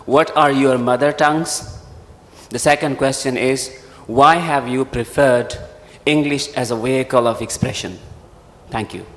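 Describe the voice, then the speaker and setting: A man's voice: medium pitch, a little slow, a bit bright. Man, audience member, formal, polite, hall reverb. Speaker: audience questioner. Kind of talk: question from the floor.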